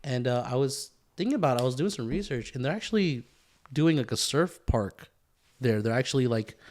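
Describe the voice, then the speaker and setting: A man's voice: medium pitch, expressive, a little fast, a little mellow. A man with an American accent chatting casually as a podcast co-host, rambling and repeating himself for emphasis. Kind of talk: casual conversational chat.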